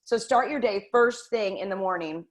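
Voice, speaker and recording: Woman host, mid-pitched, earnest and direct, talking to viewers over a computer mic. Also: moderate pace. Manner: earnest, direct, addresses viewers as "you guys". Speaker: health talk host. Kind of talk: casual motivational health talk. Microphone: computer microphone.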